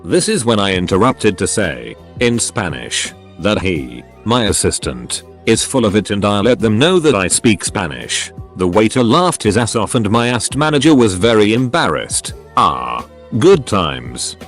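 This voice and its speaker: Lively low-voiced male story narrator. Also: unhurried, soft background music. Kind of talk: scripted narration of online stories.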